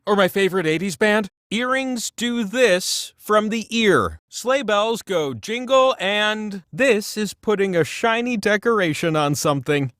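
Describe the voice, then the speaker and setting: A man's voice: sits high, fairly bright tone, dry recording, clean studio sound. A man voicing a vain, playful talking wheel that hosts a comic trivia game with theatrical, mock-grand delivery and wry asides. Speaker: game show host. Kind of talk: scripted quiz hosting with comic asides.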